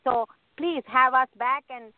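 A woman from Sri Lanka speaking as a guest in a radio debate, earnest and halting, restarting her sentences. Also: high-pitched, slight background noise. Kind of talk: phone-in panel debate. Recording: thin phone line, dry.

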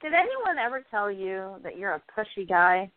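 Hesitant, easily rattled actress character, woman performer, radio play, animated pitch, phone-like recording. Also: moderate pace, background noise, lightly acted. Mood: brisk, wry. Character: hesitant, discouraged young actress, easily flustered. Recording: tinny phone-like lo-fi audio.

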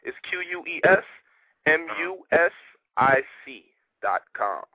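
A man from Haiti, a rapper guest talking casually in a radio interview, animated and streetwise. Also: fairly high pitch, breathy edge, no room sound. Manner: animated, streetwise, rapid talk with repeated "you know what I mean". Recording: tinny phone line, dry.

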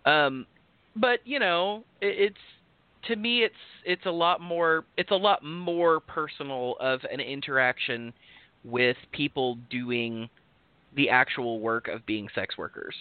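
A man in his thirties chats casually as a podcast co-host. He rambles frankly with plenty of fillers, and his voice sits a little high. The sound is thin and phone-like, and it is dry and close. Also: measured pace, no room sound. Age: 30s. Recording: tinny phone-like call audio, dry.

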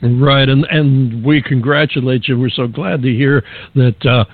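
Halting radio host on a thin line. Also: male voice, mid-range voice, steady tone, phone-quality sound. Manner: genial, halting, many restarts. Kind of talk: radio interview.

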